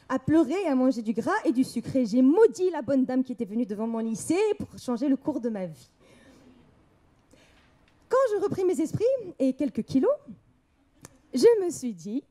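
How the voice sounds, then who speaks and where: Lively young woman telling her story on stage. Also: sits high, moderate pace, long pauses. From Morocco. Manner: candid, lively storytelling with laughs and quoted voices. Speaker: speaker. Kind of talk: personal story told on stage. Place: event hall.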